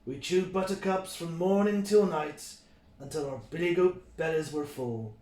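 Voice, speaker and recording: Man acting big tough goat dad, fond storyteller, unhurried delivery, storybook character, echoey recording. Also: on the high side, a little mellow, natural voice. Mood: fond reminiscing. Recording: roomy, echoing sound.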